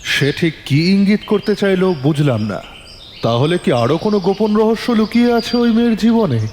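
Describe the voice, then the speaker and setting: A man narrating a detective story in the first person, in a measured, suspenseful storytelling manner. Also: high voice, expressive, darkish tone, in a large hall, a little hiss or hum.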